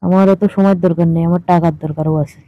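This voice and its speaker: A woman's voice: on the low side, fairly even intonation, fast talker. A woman in a casual, teasing, colloquial phone chat. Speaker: caller in a staged phone conversation. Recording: muffled lo-fi phone call recording.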